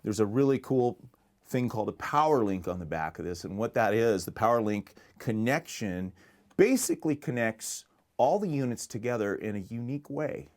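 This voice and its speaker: Low-voiced American man chatting through product demo. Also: a little mellow. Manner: chatty, rambling, conversational. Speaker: music store product presenter. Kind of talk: casual unscripted product demonstration.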